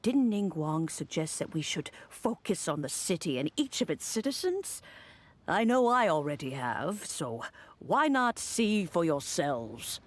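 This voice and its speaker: A woman's voice: on the low side, measured pace. An actress playing a poised, authoritative noblewoman leader who speaks in a composed, commanding way. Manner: composed and commanding, lively intonation. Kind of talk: video game cutscene voice acting. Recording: game audio with clear music behind.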